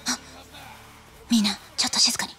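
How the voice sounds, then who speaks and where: A woman playing an anxious, alert young woman on the run in a Japanese video game cutscene, in hushed, breathy tones. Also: normal speed, soft background music, lightly acted.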